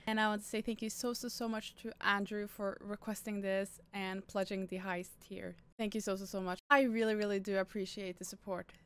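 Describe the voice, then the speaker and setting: A woman's voice: fairly high pitch, smooth. A young woman from Norway, a native speaker of Norwegian, chatting upbeat as she hosts a movie reaction from her bedroom into a studio microphone. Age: young adult. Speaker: reaction channel host. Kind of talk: casual movie reaction chat. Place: bedroom with purple lighting. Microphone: studio microphone on an arm.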